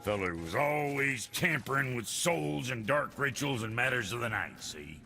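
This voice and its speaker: Gravelly, crude dwarf blacksmith. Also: male voice, medium pitch, animated, moderate pace, sharp tone, a little hiss or hum. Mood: relishing, bawdy. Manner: folksy, coarse rustic speech. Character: crude, foul-mouthed dwarf blacksmith, gruff and blunt. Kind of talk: video game character acting.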